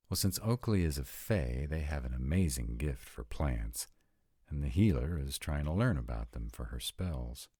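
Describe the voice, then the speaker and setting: A man's voice: very deep voice, dark tone, dry recording, full character voice. A man playing a sarcastic, reluctant but devoted vampire mate in a scripted roleplay audio drama, with a dry manner. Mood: casual, curious.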